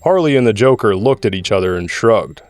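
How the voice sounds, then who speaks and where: A man's voice: on the low side, animated, a little fast. A man reading scripted, dramatic narration for an audio drama. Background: music score.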